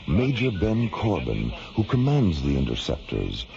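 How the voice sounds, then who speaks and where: A man's voice: low voice, huge pitch swings, a little slow, vocal fry. A man narrating a science fiction radio drama in a dramatic, scripted style. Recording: muffled old radio recording, loud music.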